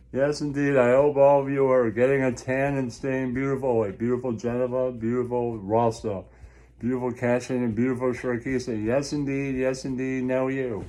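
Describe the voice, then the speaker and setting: A man's voice: mid-range voice, fairly even intonation, moderate pace, warm tone. A man rambling through a crude comic monologue, mocking and repetitive, often leaning on catchphrases as a show host. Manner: crude, mocking, repetitive, laughs at own jokes.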